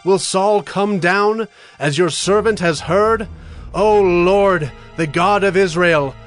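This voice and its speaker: An earnest, high-voiced biblical leader. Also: male voice, slow pace, soft background music. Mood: earnest pleading. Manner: earnest, pleading, emphatic. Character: David, earnest devout male warrior leader. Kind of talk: dramatized scripture reading.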